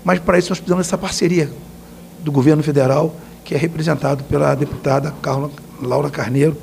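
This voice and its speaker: Very gravelly state deputy. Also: male voice, on the high side, fairly even intonation, quick pace, darkish tone, a little hiss or hum. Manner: plain, repetitive talk. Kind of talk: interview answers.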